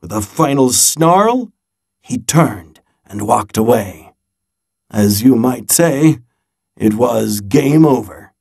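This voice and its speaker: Guy reading as old grandpa telling his sea adventure, storybook style, slow n husky, dramatic pauses. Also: mid-range voice, lively intonation, a little mellow, exaggerated voice. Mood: suspenseful, dramatic.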